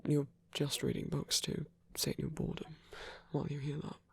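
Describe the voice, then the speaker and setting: A man playing a gentle, caring old vampire priest in an intimate ASMR roleplay, speaking in a halting, bashful, breathy whisper. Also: fairly high pitch, level tone, no room sound, heavily acted. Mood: awkward, reassuring. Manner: halting, bashful, softly reassuring.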